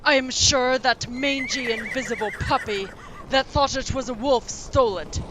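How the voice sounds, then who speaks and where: A woman's voice: high voice, steady tone, normal speed, noisy background, lightly acted. A woman playing a hot-tempered, wounded warrior sister in a fantasy audio drama, intense, indignant and formal. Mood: bitter, distressed.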